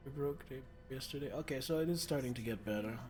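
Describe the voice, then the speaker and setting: A game streamer with a hoarse, irritated throat, chatting casually and giving blunt, earnest advice with the odd swear word. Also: male voice, mid-range voice, fast talker, over music. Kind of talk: casual chat while playing.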